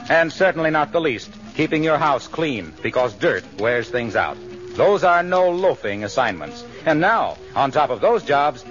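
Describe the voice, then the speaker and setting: Casting: American man, earnest 1940s radio announcer, slightly high formal voice, expressive intonation, muffled sound. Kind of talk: 1940s scripted radio sponsor message. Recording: muffled old radio recording.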